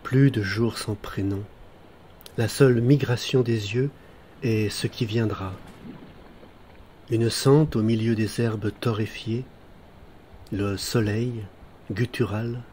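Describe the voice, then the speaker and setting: A man reciting literary poetry in a measured, contemplative manner, with deliberate pauses between short phrases and a husky voice. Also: lowish voice, darkish tone, a little hiss or hum. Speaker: poet reading own poems.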